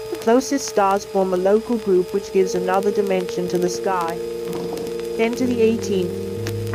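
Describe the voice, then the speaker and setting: A woman's voice: medium pitch, low-quality recording. Synthetic female science film narrator over music. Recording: music playing under the voice.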